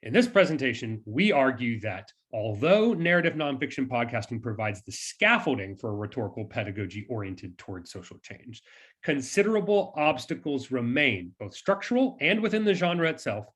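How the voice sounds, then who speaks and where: Husky-edged man reading a scripted presentation. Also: mid-range voice, expressive, fairly quick, fairly bright tone. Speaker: university lecturer, co-presenter.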